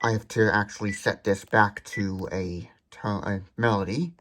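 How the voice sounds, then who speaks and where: A man's voice: on the low side, steady tone, unhurried, a bit bright, slight background noise. A man hosting a tech demonstration, explaining details methodically. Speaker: tech demonstrator, video host.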